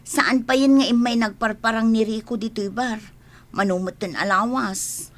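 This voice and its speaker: A woman's voice: a little high, fairly even intonation, normal speed, close to an everyday voice. An actress playing a loyal, sympathetic young woman in a radio drama, talking in a familiar, concerned manner. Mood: worried, pitying.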